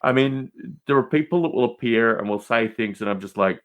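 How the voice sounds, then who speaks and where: A man's voice: mid-range voice, brisk, dull audio. A man speaking as a podcast guest in casual, rambling banter, emphatic and prone to digressions and mildly exasperated exclamations.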